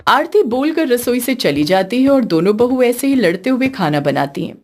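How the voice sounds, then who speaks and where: A woman's voice: on the high side, very animated, normal speed. A woman narrating a scripted story as the storyteller.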